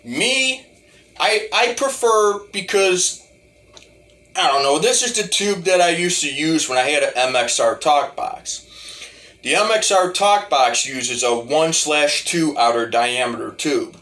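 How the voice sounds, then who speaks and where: A man's voice: fairly high pitch, lively intonation, unhurried, sharp tone. A man giving a casual, rambling demo as a hobby musician, often restarting his phrases. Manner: rambling, repeats starts of phrases. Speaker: hobbyist talk box musician.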